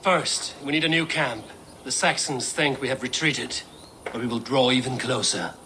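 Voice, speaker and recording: An actor playing a resolute, proud Viking war leader in a video game, grave and terse. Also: male voice, medium pitch, moderate pace, sharp tone. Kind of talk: dramatic video game acting. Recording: noisy game ambience behind.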